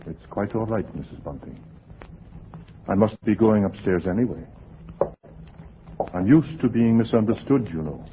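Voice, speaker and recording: An actor playing a sinister, fanatically pious lodger in a 1940s radio drama, preaching in a formal, sanctimonious way. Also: male voice, fairly low pitch, expressive, normal speed, pauses often, natural voice. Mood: quiet, brooding. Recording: muffled, noisy 1940s radio recording.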